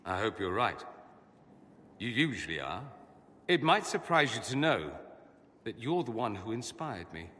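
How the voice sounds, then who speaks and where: A thoughtful male mage with a bright, crisp voice. He often sinks into a murmur as he muses. Long reverb and faint ambience surround him. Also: fairly low pitch, very animated, measured pace, pauses often, full character voice. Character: earnest male mage, proud but reflective.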